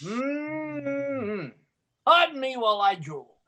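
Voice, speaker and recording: An actor playing a brash, gluttonous neighbor pal who delivers hammy wisecracks in an old-time radio comedy. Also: male voice, extremely high pitch, very animated, slow pace, bright, forceful tone, heavily acted. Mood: greedy, sly.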